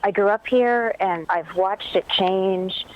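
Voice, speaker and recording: A woman's voice: mid-range voice, takes it slowly, muffled recording, slight background noise. A woman, a playwright guest talking in a thoughtful, conversational way. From Los Angeles, USA. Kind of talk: interview conversation.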